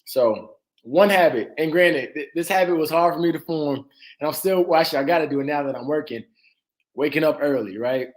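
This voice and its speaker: A man in his late twenties from Atlanta, a dentist guest speaking in a relaxed, casual way with frequent fillers and easy laughter. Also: a little high, a little fast, poor audio. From Atlanta, Georgia. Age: 20s. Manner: relaxed, laughs easily, frequent "uh" and "you know". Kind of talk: casual interview talk.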